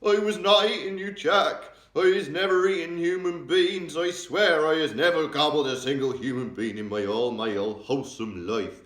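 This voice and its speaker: A man's voice: fairly high pitch, a bit bright, voice pushed up, natural voice. A man voicing a huge child-eating male giant who screams and begs in his sleep, drawn out and bellowing. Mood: pleading. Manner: bellowing, screeching, drawn-out pleading. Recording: roomy echo, distant mic.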